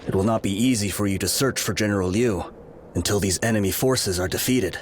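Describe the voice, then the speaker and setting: A man's voice: on the low side, fairly quick, slight background noise, close to an everyday voice. A man playing a grave, courteous army officer with formal manners in a video game cutscene.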